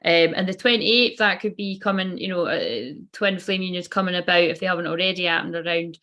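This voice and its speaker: A woman's voice: lowish voice, fairly quick, sharp tone, lo-fi sound. A woman giving a casual tarot card reading. She rambles loosely and swears offhandedly.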